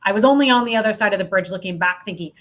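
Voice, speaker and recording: A woman's voice: mid-range voice, very fast, a bit bright. A woman speaking as a podcast guest, telling work stories in a candid, emphatic, informal way. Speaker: podcast guest, sales consultant. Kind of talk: conversational interview storytelling.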